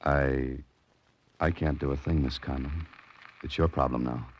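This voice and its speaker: A man's voice: extremely low pitch, fairly even intonation, a little slow. An American man playing a tough, wry adventurer-sleuth in a 1940s radio suspense drama, with a clipped, insistent delivery. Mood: earnest persuasion. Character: tough, wry male adventurer-sleuth, narrating and pressing for answers. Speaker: lead actor and narrator. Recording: noisy, muffled vintage 1940s radio recording.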